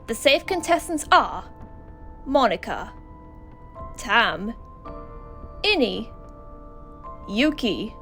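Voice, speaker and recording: A high-voiced, theatrical host of a spooky animated contest, acted by a woman. The delivery is showy and mocking, stretching out announcements, with faint music underneath. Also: extremely slow, pauses often, heavily acted. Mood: suspenseful. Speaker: game show host. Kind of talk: scripted animated show announcing.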